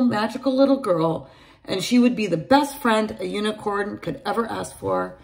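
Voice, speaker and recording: A middle-aged woman reading a children's picture book aloud to her class, in an animated storytelling manner, recorded on a close phone camera in a large room at home. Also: medium pitch, poor audio. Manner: animated storytelling, addresses children by name, gravelly edge. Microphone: phone or laptop camera at close range.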